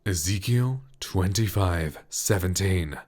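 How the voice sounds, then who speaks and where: A man with a deep, dark voice, a voice actor chatting casually while reviewing a studio microphone he speaks into up close. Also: slow. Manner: relaxed and chatty, lots of loose filler words. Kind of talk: casual gear review with voice demos. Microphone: close studio condenser microphone.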